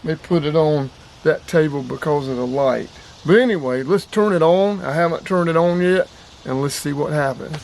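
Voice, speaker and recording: A man's voice: fairly high pitch, moderate pace, vocal fry, a little mellow. An American man giving a casual hands-on demo, talking straight to viewers in a folksy way. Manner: folksy, addresses viewers directly. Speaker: outdoors channel host and radio operator. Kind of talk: casual hands-on demonstration vlog.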